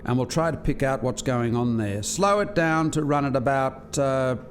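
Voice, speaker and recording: An actor playing an articulate alien scientist in a radio play, explaining things precisely as if lecturing a visitor. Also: male voice, medium pitch, moderate pace, faint music behind, natural voice. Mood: brisk, directing.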